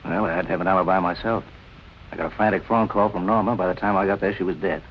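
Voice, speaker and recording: A man's voice: low voice, fairly even intonation, fast, slight background noise, poor audio. An actor playing a cool, wry tough-guy suspect with slangy, casual 1940s film noir delivery. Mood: relaxed, explaining. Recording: vintage film soundtrack, faint music.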